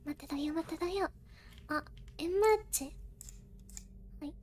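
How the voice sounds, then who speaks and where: A woman's voice: very high-pitched, normal speed, a little mellow, slight background noise. A woman streamer chatting casually in a cutesy, hesitant way, dropping in little Japanese interjections. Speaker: VTuber streamer hosting a chat. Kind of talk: casual livestream chat.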